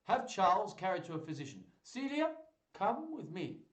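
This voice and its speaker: Villainous duke, acted by a man: raised pitch, rasping, imperious snarl, echoey room. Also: very animated, moderate pace. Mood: hostile. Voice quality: raspy. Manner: commanding, snarling. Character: stern, hostile, sneering male duke. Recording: roomy echo, distant microphone.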